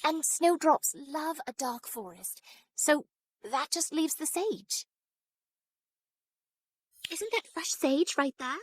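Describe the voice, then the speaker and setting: High, breezy schoolgirl witch. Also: slow, pauses often, no room sound. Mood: breezy, confident. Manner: breezy, persuasive, reassuring. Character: confident, adventurous schoolgirl witch, reassuring and breezy. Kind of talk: video game cutscene acting.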